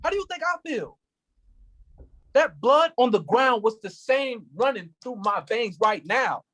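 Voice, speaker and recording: A man's voice: extremely high pitch, expressive, a little slow, fairly bright tone, full character voice. An actor playing an anguished, furious police officer who is grieving his cousin, in a staged play reading with an intense, impassioned delivery.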